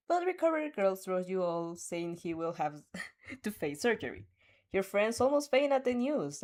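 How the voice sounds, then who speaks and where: A woman's voice: on the low side, darkish tone. A young woman reading a fanfic aloud, often breaking off to laugh and react, stumbling and restarting as she goes.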